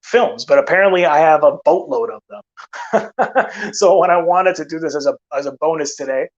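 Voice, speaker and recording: A man's voice: fairly high pitch, normal speed, gravelly, muffled recording. A man hosting a live film channel stream, chatting casually with many fillers and restarts, tired and losing his voice.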